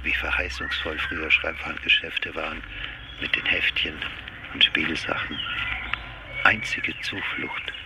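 A very deep, creaky male investigator in a radio play, dictating observations in terse fragments. He broods and muses, under loud noise. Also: flat delivery, unhurried. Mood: brooding, musing. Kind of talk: radio drama monologue, dictated notes.

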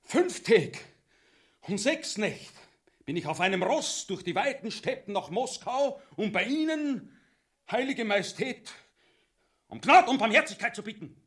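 An actor playing an aggrieved, boastful army captain in a grotesque radio comedy, plaintive and melodramatic in Viennese dialect. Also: male voice, sits high, sing-song, measured pace, fairly bright tone, heavily acted. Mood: pleading, aggrieved.

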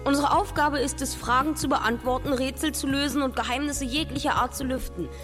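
A self-assured young boy detective played by an actor in a German radio play. The voice is high and formal, with precise, articulate phrasing, and music plays clearly behind it. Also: fairly even intonation, brisk, close to an everyday voice. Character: confident, articulate teenage boy detective, the leader.

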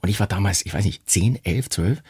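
A man's voice: low-pitched, fairly quick, darkish tone, husky, no room sound, studio quality. A man in his fifties, chatting casually as a guest, laughing often and poking fun at himself. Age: 50s. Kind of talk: casual chat.

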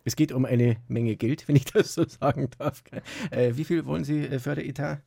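A mellow, creaky voice, recorded dry and close. a fussy funding officer in a radio satire, halting and officious as he works through his questions. He stays sceptical throughout. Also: mid-range voice, no room sound. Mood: sceptical, amused. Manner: halting, officious, full of hesitations. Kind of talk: improvised-sounding radio satire sketch.

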